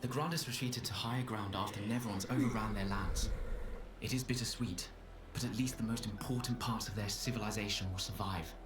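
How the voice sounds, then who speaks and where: Low, solemn man acting a weary expeditioner. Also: steady tone, fairly quick, distant mic, over music, close to an everyday voice. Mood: solemn, hopeful. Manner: solemn, wistful. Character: weary, grateful expedition member recording a journal. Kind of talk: dramatic game voice acting, journal narration.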